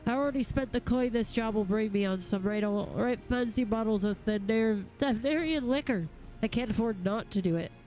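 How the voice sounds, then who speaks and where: A woman voicing a cranky, foul-mouthed male blacksmith in a fantasy game, gruff and rustic, grumbling along. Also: fairly high pitch, moderate pace, mellow, lightly acted, low-quality recording. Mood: blunt. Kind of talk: reading fantasy game dialogue aloud.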